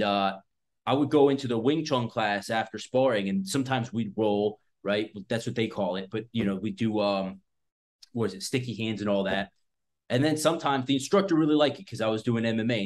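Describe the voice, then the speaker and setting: A man of thirty-six, a self-defense instructor, talking casually and bluntly to camera, swearing often and rambling with lots of fillers. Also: medium pitch. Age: 30s. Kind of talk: casual unscripted talk to camera. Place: small indoor room in front of a backdrop.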